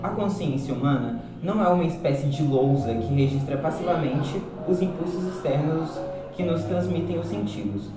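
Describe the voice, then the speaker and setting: A man's voice: fairly high pitch, lightly acted. A Brazilian man playing a patient male philosophy teacher who explains things didactically in an amateur radio drama. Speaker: actor in a student radio drama. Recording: very echoey, distant, loud music behind.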